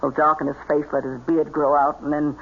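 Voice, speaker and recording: A woman's voice: low-pitched, flat delivery, a little fast, breathy edge. An actress playing a bossy, scheming female con artist with folksy, commanding speech in an old-time radio drama. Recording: dull, noisy old radio recording.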